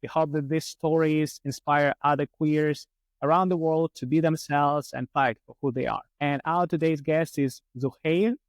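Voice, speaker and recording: Guy hosting a podcast, slightly high voice, slow careful questions, dry mic. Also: steady tone, no echo, poor audio. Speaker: podcast host. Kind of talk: interviewing a guest.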